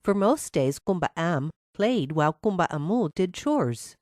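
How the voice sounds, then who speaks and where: A woman's voice: lowish voice, sing-song, a little slow, darkish tone, no echo, studio quality. A woman narrating a scripted fairy tale for children as a storyteller.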